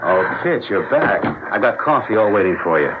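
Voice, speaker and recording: An actor in a 1970s radio drama playing a breezy, boastful husband and former football star with an emphatic, cajoling manner. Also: male voice, fairly low pitch, big pitch swings, normal speed, over loud music. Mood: surprised, exasperated. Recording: noisy, dull old radio broadcast.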